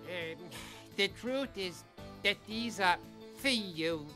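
An actor voicing a shy, gentlemanly male scorpion in a cartoon, hesitant and bashful. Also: mid-range voice, expressive, takes it slowly, music in the background. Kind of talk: cartoon creature acting.

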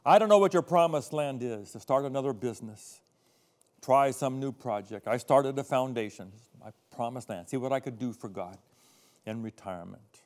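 An older male pastor delivers a sermon from a studio podium. He drops to quiet, confiding asides, then builds to loud, emphatic readings of scripture. His voice sits at an ordinary middle pitch and is picked up close on a lapel mic. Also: lively intonation, normal speed.

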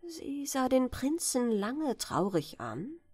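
Female audiobook narrator for bedtime fairytales, unhurried, animated melody, close dry sound. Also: sits high, breathy edge, no room sound, soft background music. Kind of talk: expressive bedtime fairytale reading.

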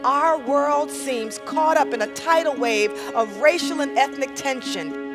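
A woman's voice: high-pitched, measured pace, music in the background. A woman delivering a prepared, formal address.

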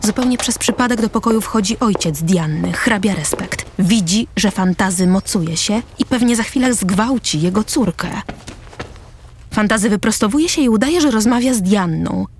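A woman's voice: mid-range voice, animated, normal speed, slight background noise. A woman narrator reading the scripted stage directions of a radio drama. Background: music.

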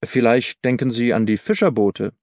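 An eager, baffled police inspector acted out by a male audiobook reader, blunt and speculating. He presses with questions at a medium pitch, recorded close and dry. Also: normal speed, darkish tone, dry recording, natural voice. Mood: probing, curious. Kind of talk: audiobook character dialogue.

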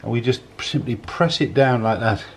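A man in his sixties giving a casual, unhurried cooking demonstration in a home kitchen, often repeating his phrases as he goes. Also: medium pitch. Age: 60s. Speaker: home cook, presenter. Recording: clattering dishes, clicks, kitchen noise.

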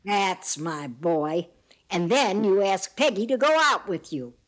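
A woman's voice: mid-range voice, lively intonation, slow. An older woman playing a caring, nagging mother of a grown son in old radio comedy style. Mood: urging. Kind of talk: old-time radio sitcom acting. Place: indoors at home on a video call.

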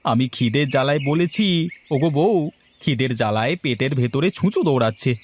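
A man's voice: medium pitch, animated, moderate pace, darkish tone, breathy edge. A man playing an exasperated, hungry village farmer husband who complains dramatically in a comedy cartoon. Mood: aggrieved. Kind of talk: Bengali comedy cartoon dialogue.

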